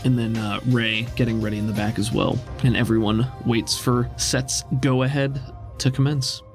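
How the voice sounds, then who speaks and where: A man's voice: mid-range voice, fairly even intonation, normal speed, soft background music. Male game master narrating tabletop play.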